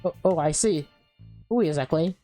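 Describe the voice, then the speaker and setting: A woman's voice: deep voice, moderate pace, warm tone, faint music behind, lightly acted. Woman reading as an anxious, slangy teenage boy. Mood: awkward, tentative. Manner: casual, slangy, hesitant. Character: anxious, exasperated high school boy pleading with girlfriend.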